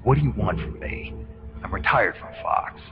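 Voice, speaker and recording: Male actor as hardened game soldier: low, gruff voice, terse and guarded, muffled sound with loud music. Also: moderate pace, lightly acted. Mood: guarded, defiant. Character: gruff, wary male retired special forces soldier. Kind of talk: dramatic video game acting.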